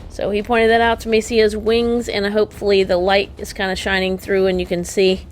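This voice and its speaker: Female co-host, mid-pitched, enthusiastic and chatty, casual show-and-tell delivery, light background noise. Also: completely flat delivery, fairly quick. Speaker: co-host of a toy unboxing show.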